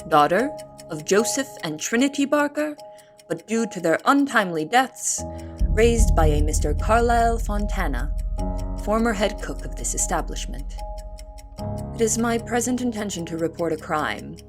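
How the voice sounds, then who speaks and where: A woman playing an earnest young female cook in an audio drama, speaking in a formal, measured, articulate way. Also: mid-range voice, expressive, close to an everyday voice. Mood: grave, formal. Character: Anne Marie, earnest young female cook writing testimony. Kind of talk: audio drama, formal letter narration and dialogue. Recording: music bed under the voice. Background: instrumental music.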